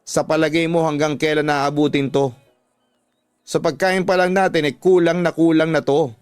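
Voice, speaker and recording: A man's voice: a little high, steady tone, normal speed, clear, resonant tone, no room sound, natural voice. A Filipino man voicing a defiant, blaming wife with a gambling habit in a radio drama. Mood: complaining.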